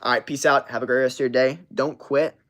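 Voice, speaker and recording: A man's voice: medium pitch, fairly even intonation, fast, a bit bright. A man in his twenties, hosting a livestream, telling a story in a profane, emphatic and rambling way. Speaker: livestream host. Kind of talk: casual story time on a livestream.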